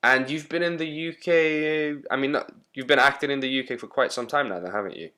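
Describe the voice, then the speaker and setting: A man hosting a podcast who talks in a relaxed, encouraging way and thinks out loud while asking questions. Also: mid-range voice, a little fast. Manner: chatty, encouraging, thinks aloud. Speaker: podcast host. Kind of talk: casual interview chat.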